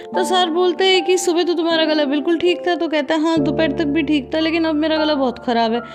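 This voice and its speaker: Woman, recap host, bright high voice, chatty and direct with viewers, music bed underneath. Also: fairly even intonation, fast talker. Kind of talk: conversational plot recap. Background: background music.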